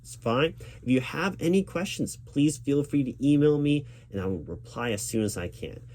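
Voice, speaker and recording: A man's voice: mid-range voice, animated, normal speed, a little mellow. A man playing a recruiting manager in an ASMR interview roleplay, speaking aloud in a reassuring, patient and encouraging way. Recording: background noise with keyboard typing.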